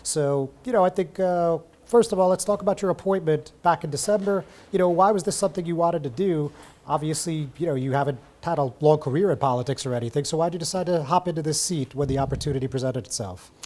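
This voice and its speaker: A man hosts a local political show, talking fast in a casual, chatty way. His voice is a little higher than most men's but has a mellow, dark tone. He leans on fillers. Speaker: TV news talk show host.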